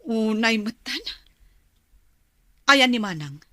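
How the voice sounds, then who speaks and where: A woman's voice: fairly high pitch, lively intonation, slow, sharp tone, no room sound, studio quality, heavily acted. A woman acting a caring but firm middle-aged mother in an Ilocano radio drama, emotive, with a Filipino accent. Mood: concerned.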